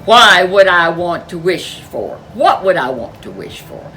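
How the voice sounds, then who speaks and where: A woman voicing a lazy, whining man, who complains loudly. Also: on the low side, big pitch swings, unhurried, a little hiss or hum, exaggerated voice. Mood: indignant. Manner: whiny, complaining.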